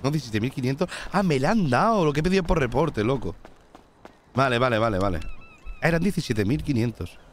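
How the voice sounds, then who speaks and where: A man's voice: medium pitch, a little fast, a little hiss or hum. A young man with a Spanish accent from Spain, streaming a crime roleplay game and chatting to his viewers in a loose, swearing way. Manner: animated, swearing, jumps between in-game talk and asides to viewers. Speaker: streamer roleplaying a bank robber. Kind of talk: casual gaming roleplay chat.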